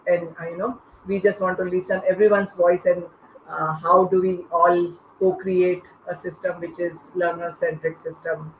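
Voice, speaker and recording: A host moderating an online panel discussion without a script, in halting, stop-start phrases. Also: medium pitch, flat delivery. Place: online video call. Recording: muffled, echoey online call with noise.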